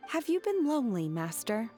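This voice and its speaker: An actress playing a reserved, earnest young disciple in a video game, polite and measured toward her master. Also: a little high, big pitch swings, dark tone, soft background music. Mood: sincere, devoted.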